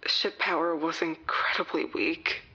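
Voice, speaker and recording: A woman's voice: deep voice, steady tone, husky, heavily acted. A woman playing a shaken crash survivor. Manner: slow, shaken, hushed. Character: frightened young woman survivor, recounting a crash. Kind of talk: fan audio drama acting. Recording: dull lo-fi sound.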